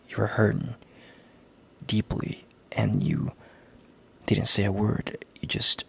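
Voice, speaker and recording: A man playing a gentle, worried boyfriend in an intimate audio roleplay, speaking in a halting, tender, hushed way with a gravelly, husky, breathy voice. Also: fairly low pitch, exaggerated voice. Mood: tender, aching. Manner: halting, tender, hushed, sighing between phrases. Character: gentle worried boyfriend, relieved and heartfelt, on a call.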